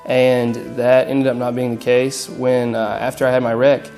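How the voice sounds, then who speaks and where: A young man of nineteen tells his own story to the camera, matter-of-fact and steady. His delivery stays flat and level, broken by frequent uhs and pauses. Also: medium pitch, soft background music. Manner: even, matter-of-fact, many fillers. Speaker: interviewee.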